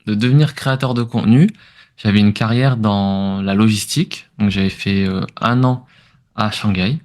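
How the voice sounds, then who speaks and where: A man with a fairly low voice and an accent from France speaks as an interview guest. He explains things informally, feeling his way through sentences with some hesitation. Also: normal speed, darkish tone. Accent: French from France. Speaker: podcast guest, entrepreneur. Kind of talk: conversational interview answers.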